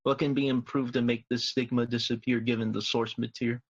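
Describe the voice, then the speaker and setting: A man's voice: medium pitch, little pitch movement, fairly quick. Man on a dull, lo-fi mic. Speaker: podcast panelist. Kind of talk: casual group discussion.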